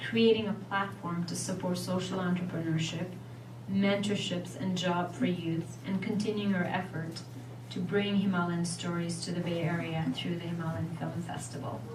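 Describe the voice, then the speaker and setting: A woman giving a reflective scripted narration in short, measured phrases. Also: fairly low pitch, flat delivery, background noise. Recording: echoey, distant voice under loud music. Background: loud plucked guitar music.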